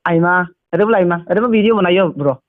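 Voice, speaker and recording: A man chatting casually on the phone, speaking haltingly and mixing in English words. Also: high voice, no echo, narrow, tinny audio.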